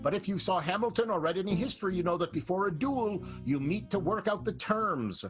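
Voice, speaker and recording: A man announcing an improvised radio comedy show in a showy, theatrical way with drawn-out emphasis. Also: high-pitched, animated, fairly bright tone, faint music behind. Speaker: announcer, narrator.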